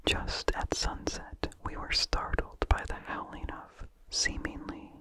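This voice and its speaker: A narrator reading vintage horror fiction aloud in a breathy, unvoiced ASMR whisper, with slow, deliberate, measured phrasing.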